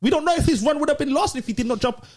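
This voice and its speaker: A man's voice: very high voice, very fast, a little mellow. A British man co-hosting a podcast in casual debate, challenging others with rhetorical questions. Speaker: podcast co-host. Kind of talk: casual debate about music.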